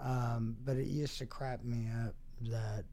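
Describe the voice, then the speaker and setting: A middle-aged or older man reminiscing casually as an interview guest, halting and wryly dry in his phrasing. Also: mid-range voice, fairly even intonation, dark tone, slight background noise.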